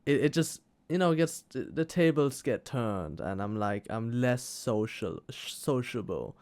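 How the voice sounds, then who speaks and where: A young man from Thailand, speaking English as a second language, chatting haltingly with restarts and "hella" slang. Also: mid-range voice, animated, darkish tone. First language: Thai. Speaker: podcast co-host. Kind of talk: casual chat.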